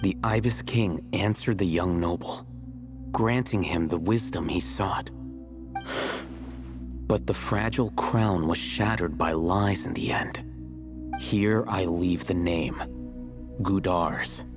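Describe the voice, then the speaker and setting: Very low-voiced, aloof scholar. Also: male voice, music in the background. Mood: composed, aloof. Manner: measured, matter-of-fact, lecturing. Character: composed, learned male scholar, aloof and unhurried. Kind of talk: video game character dialogue.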